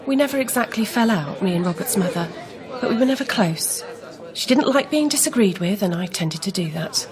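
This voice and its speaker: Gossipy older aunt played by an actress, blunt and disapproving, radio drama, people murmuring behind. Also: female voice, medium pitch, expressive, a little fast, crowd noise, lightly acted. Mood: brisk. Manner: forthright, disapproving. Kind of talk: naturalistic radio drama acting.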